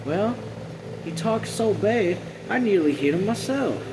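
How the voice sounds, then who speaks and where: A protective, worried country mother, acted out by a man reading a novel. The voice is pitched up and speaks in plain folk talk with feisty grit. Loud, steady air or vehicle noise surrounds it. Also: unhurried. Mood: amused indignation.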